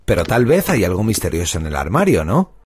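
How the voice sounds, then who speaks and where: A woman playing the narrator of a children's cartoon, telling the story in an expressive, scripted style. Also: rumbling low, a little fast, noisy background. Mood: storytelling.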